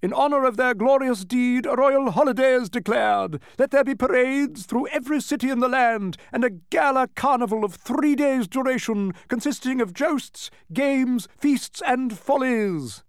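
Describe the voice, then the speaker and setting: Man playing pompous royal minister, high ringing proclamation. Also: moderate pace, hardly any pauses, smooth, dry recording, clean studio sound. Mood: triumphant proclaiming. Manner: grand, declamatory, ceremonious.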